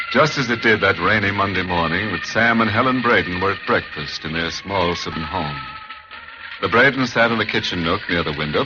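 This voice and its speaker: An actor playing a ghoulish, darkly joking horror host, narrating theatrically in 1940s radio style. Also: male voice, sits low. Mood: ominous. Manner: slow, theatrical, punning. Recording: muffled vintage radio, music behind.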